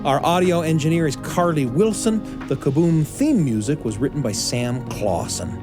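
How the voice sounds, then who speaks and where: A man hosting a family podcast, speaking in an animated, emphatic storyteller's way. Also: on the high side, moderate pace, over music. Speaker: podcast host. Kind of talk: warm-up chat and scripted podcast hosting for families.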